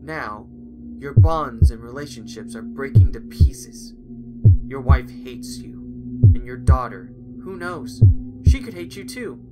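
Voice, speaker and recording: A man acts out a cocky, perceptive young male sleuth in an animated fan drama. His delivery swings widely in pitch and is theatrical and probing. Loud music plays right under the voice. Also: slow. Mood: taunting, accusing. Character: bold, perceptive young male detective-minded employee.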